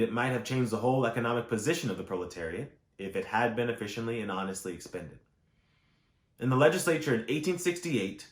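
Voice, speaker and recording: A man reading historical nonfiction aloud in a measured, formal way, phrasing each clause clearly. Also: fairly low pitch. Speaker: audiobook reader. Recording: roomy, echoing voice recording.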